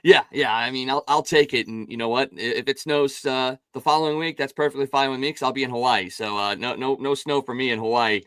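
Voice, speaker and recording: A man hosting a sports talk show, his voice raspy and hoarse from calling games, chatting in an upbeat, casual way with many restarts. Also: medium pitch, steady tone, a little fast, hardly any pauses, a bit bright, dry recording, studio quality.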